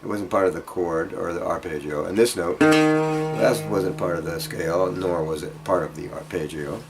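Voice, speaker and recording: A man giving an informal guitar lesson, speaking haltingly as he thinks aloud and demonstrates. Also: low-pitched. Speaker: guitar teacher. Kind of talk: informal instructional music lesson. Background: acoustic guitar playing.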